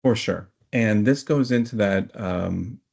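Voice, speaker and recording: Man, low gravelly voice, measured explanatory delivery, hesitant phrasing, expert guest in an interview. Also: a little mellow.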